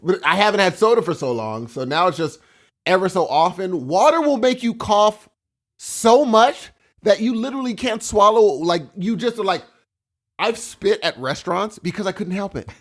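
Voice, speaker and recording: Animated, higher-voiced male podcast co-host. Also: normal speed. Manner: animated, rambling, joking. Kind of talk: casual chat.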